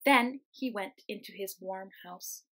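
A young woman, a teacher reading a children's storybook aloud, expressive and explaining things simply for young listeners. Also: medium pitch, a little slow. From United States. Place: small room at home, indoors.

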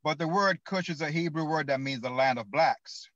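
Man, fairly high voice, careful lecturer, self-correcting, dry, dull call audio. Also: moderate pace, a bit bright, dry recording. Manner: careful, restarts to correct his wording. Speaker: panelist speaking on biblical history. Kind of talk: lecture-like explanation.

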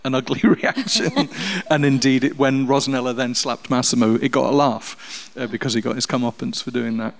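A man on a theatre panel, explaining his directing work in a measured way. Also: medium pitch, creaky, crowd noise. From Scotland. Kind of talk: live panel talk. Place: theatre stage.

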